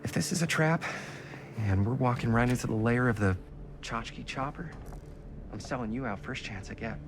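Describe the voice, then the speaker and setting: A man's voice: medium pitch, moderate pace, background noise, close to an everyday voice. A man playing a nervous, wisecracking teenage boy. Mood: uneasy. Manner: hesitant, joking, anxious. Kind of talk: naturalistic video game acting.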